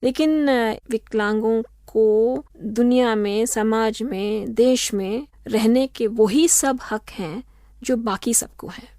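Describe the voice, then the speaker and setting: A woman law professor answering interview questions in a measured, explanatory way, slipping in English phrases. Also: a little high, dark tone, no echo, studio quality. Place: radio studio.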